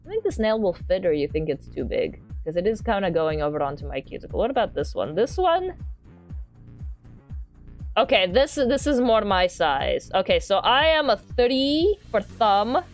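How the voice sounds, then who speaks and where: A woman's voice: mid-range voice, sing-song, normal speed, soft background music. A woman livestreamer chatting casually with her viewers in a playful, rambling way, often repeating herself. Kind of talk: casual chat with viewers while doing crafts on stream.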